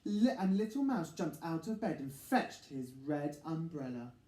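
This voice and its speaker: Slow, very animated male storyteller. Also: a little high. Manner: theatrical, dramatic pauses and emphasis. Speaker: children's story reader. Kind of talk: expressive picture-book narration. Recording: echoey room.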